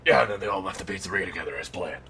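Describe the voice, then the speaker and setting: An evil undead animatronic dad, gravelly n sharp, bitter theatrical bedtime story asmr roleplay, kinda unhinged. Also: male voice, sits low, very fast, slight background noise. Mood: bitter recounting. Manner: theatrical, swinging from menacing murmurs to outraged outbursts.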